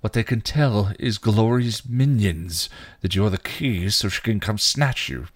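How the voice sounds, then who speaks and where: A man voicing a gruff, streetwise male vampire in a story, with clipped, slangy, growling speech. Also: deep voice, animated, measured pace, exaggerated voice. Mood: irritated.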